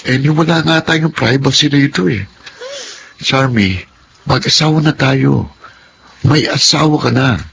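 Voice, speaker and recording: An actor playing a frustrated young husband of thirty who complains in an exasperated way. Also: male voice, mid-range voice, a little slow, creaky, long pauses, noisy background. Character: young husband Nathan, 30, frustrated, wants independence. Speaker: husband in radio drama. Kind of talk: Filipino radio drama acting.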